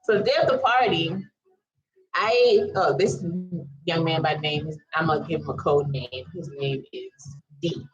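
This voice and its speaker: Low-voiced island woman, chatty and slangy. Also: unhurried, dull audio. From US Virgin Islands. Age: around 30. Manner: chatty, slangy, animated asides. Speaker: storytelling vlogger. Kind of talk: casual personal storytime.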